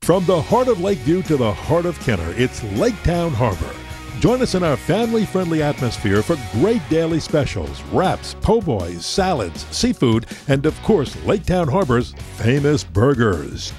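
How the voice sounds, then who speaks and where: A man's voice: a little high, wildly swooping pitch, moderate pace, music in the background. A man reading an upbeat scripted local restaurant commercial. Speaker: advertisement announcer.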